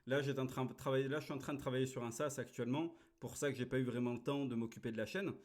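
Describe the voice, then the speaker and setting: A man's voice: mid-range voice, steady tone, racing. A man in his thirties hosting a casual reaction chat, blunt and crude, brushing off his critics. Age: 30s. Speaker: host reacting to a video.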